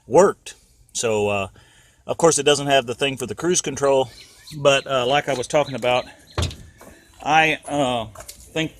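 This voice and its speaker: Folksy American man, bright voice. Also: medium pitch, a little hiss or hum. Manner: folksy, colloquial, rambling. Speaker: DIY car repair vlogger. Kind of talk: casual hands-on repair commentary to camera.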